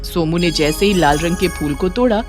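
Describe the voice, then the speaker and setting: A woman narrating a scripted children's moral story in an expressive storytelling manner. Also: fairly low pitch, moderate pace. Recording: faint music behind.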